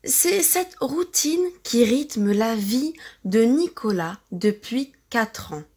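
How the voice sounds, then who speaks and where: Very slow, careful French woman reading. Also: on the high side, lively intonation, warm tone, slightly rough. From France. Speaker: audiobook-style reader for language learners. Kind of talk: slow scripted novel narration for pronunciation practice.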